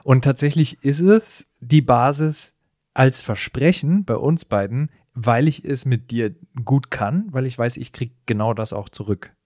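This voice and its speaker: A man's voice: mid-range voice, measured pace, darkish tone, no room sound, studio quality. A man co-hosting a podcast, telling stories in a casual, reflective and explanatory way with colloquial asides. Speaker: podcast co-host. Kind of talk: casual conversational podcast storytelling.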